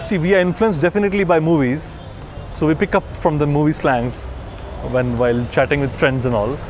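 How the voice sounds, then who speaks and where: A man's voice: on the high side, steady tone, a little fast, noisy background. A man from northern India with an Indian English accent, earnestly explaining himself in a street interview and repeating his points. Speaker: office worker interviewee. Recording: music playing underneath.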